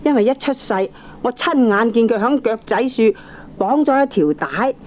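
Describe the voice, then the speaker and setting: A woman's voice: fairly high pitch, lively intonation, normal speed, a little mellow, lightly acted. A woman playing an assured younger sister in a Hong Kong Cantonese comic radio drama, matter-of-fact and insistent. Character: assured younger sister vouching as family witness. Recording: dull 1980s audio cassette recording.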